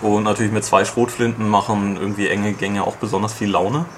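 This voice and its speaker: Low-voiced man reviewing a game. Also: flat delivery, a little hiss or hum. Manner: explains at length with many fillers and pauses. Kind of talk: casual podcast chat.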